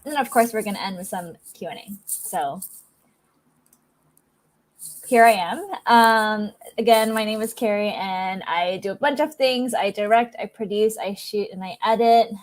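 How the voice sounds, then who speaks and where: A woman's voice: medium pitch, a little slow. A woman from Singapore giving a filmmaking presentation over a video call, upbeat and sprinkled with ums. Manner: upbeat, many ums, talks through slides. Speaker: guest speaker, filmmaker. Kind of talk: presentation to online audience.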